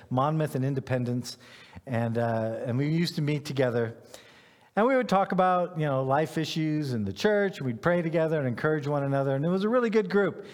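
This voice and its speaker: Higher-voiced man preaching in a reverberant hall. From Ghana, West Africa. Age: middle-aged. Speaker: pastor. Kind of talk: conversational sermon.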